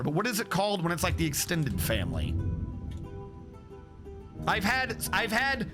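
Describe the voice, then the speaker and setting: A young man streaming a game, chatting casually in a rambling way and correcting himself often. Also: high-pitched. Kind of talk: casual livestream chat.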